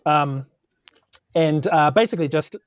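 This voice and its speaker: A man's voice: fairly high pitch, unhurried, dry recording, highs cut off. A man talking casually as a tabletop game player, in informal, hesitant phrasing. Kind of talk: casual improvised game chat.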